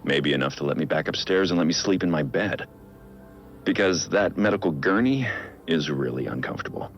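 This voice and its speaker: An actor playing a brooding billionaire vigilante, recording a measured, reflective personal audio log in a game. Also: male voice, animated, highs cut off, faint music behind. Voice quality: low gravelly voice. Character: brooding billionaire vigilante, man in his prime.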